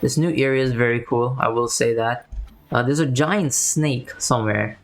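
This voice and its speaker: A man's voice: medium pitch, moderate pace. A man giving casual, slangy gameplay commentary as a streamer. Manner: slangy, informal. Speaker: game streamer.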